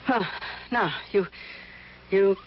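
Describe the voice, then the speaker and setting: An actress playing a rich, ailing, reclusive old woman in a radio drama, halting and fussy, repeating her words. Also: medium pitch, a little hiss or hum, lightly acted. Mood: haughty, rambling. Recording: dull, lo-fi old radio recording.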